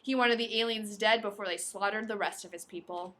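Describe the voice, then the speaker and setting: A woman's voice: mid-range voice, fairly even intonation, fairly quick, a bit bright. A woman podcast host reading a novel aloud expressively, breaking into playful, casual asides.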